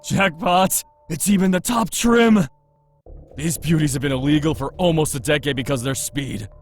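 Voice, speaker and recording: A man voicing a cocky, reckless, excitable motorhead in a visual novel game. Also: on the high side, normal speed. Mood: excited, cocky. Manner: brash, excitable, swearing.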